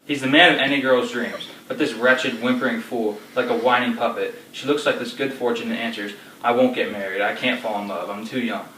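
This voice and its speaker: A domineering, hot-tempered noble father, as a man plays him with a bright, forceful tone and a scolding, ranting manner. The recording is echoey and distant, with a faint hum of ambience behind it. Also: mid-range voice, steady tone, fairly quick, few pauses, close to an everyday voice. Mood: raging.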